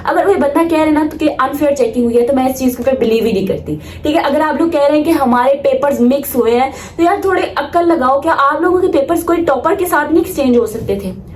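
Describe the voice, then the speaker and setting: A young Pakistani woman in her late teens speaks in a high voice, in the casual style of a student vlogger. She is emphatic and opinionated and drops English phrases into her talk. A faint noise sits behind her voice. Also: brisk, a little mellow, echoey room. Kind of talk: casual vlog talk to viewers.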